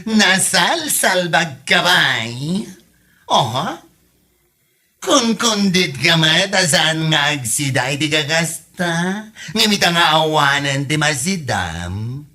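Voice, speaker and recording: An actor voicing a loud, nosy, flamboyant gossiping neighbour in an Ilocano comedy drama, with an Ilocano accent and theatrical, taunting exclamations. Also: medium pitch, sing-song, slow, heavily acted.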